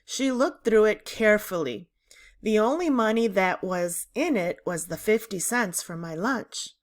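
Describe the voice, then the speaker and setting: A woman reading a children's book aloud in a deliberate, animated storytelling manner. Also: mid-range voice. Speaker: read-aloud narrator.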